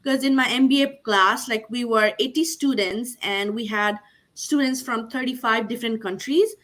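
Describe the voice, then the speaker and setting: A woman's voice: a little high, normal speed, fairly bright tone. A young woman from Nepal on a video call, answering panel questions earnestly with many fillers like "like" and "you know". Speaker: MBA graduate panelist.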